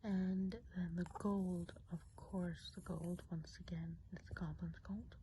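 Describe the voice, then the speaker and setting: A woman whispering softly and breathily in an unhurried, reassuring ASMR role play as a kindly woodland witch. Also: on the low side, little pitch movement. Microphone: close microphone. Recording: close whisper with audible background hiss.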